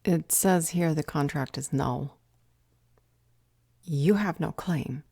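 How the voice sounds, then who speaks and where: Fantasy roleplay council judge, female actor: low, dark voice, stern, curt and officious. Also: takes it slowly, creaky, slightly rough, no room sound, studio quality, exaggerated voice. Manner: formal, officious, commanding. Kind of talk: audio roleplay fantasy drama.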